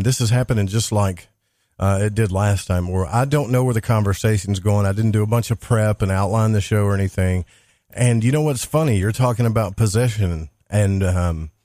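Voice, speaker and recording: Low, dark-voiced male podcast host. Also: dry recording, studio quality. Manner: monotone, rambling delivery. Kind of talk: casual interview chat.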